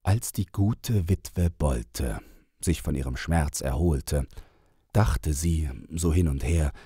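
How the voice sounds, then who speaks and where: A man narrating a rhymed children's story as an audiobook, in a deliberate, theatrical storytelling manner. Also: a bass voice, dark tone, husky, no room sound, clean studio sound.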